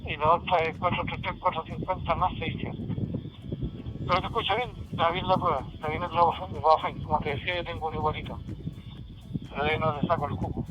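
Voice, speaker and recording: A man's voice: fairly high pitch, noisy background. A man chatting casually as an amateur radio operator, heard through a digital two-way radio. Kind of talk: casual ham radio exchange. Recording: muffled radio transmission under loud music.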